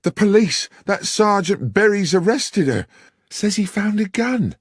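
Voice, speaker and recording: A man's voice: high-pitched, big pitch swings, unhurried, slightly rough, no echo, studio quality, pitched above the performer's own voice, exaggerated voice. A man reading aloud, voicing a panicked young woman who bursts in screaming. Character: frantic young woman, shrill and breathless.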